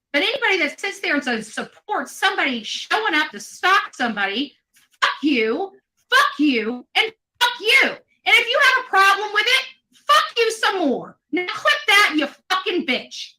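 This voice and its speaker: Woman livestreamer, high-pitched and tense, worn out, defensive and foul-mouthed, venting at critics. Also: very animated, a little slow, pushed and bright, poor audio. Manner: combative, profane, defensive. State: tired. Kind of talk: angry unscripted livestream rant.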